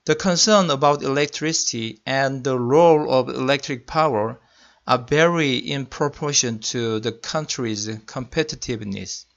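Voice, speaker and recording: A man's voice: mid-range voice, creaky, a bit bright. Korean-accented man giving a technical presentation. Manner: careful, deliberate non-native phrasing. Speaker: senior electrical engineering manager. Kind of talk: slide presentation lecture. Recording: muffled lo-fi voice-over.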